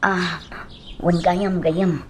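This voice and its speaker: A woman playing a worried young working woman who confides haltingly in friends, in an Ilocano radio drama. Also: fairly low pitch, steady tone, slight background noise. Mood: anxious confiding. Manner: hesitant, halting.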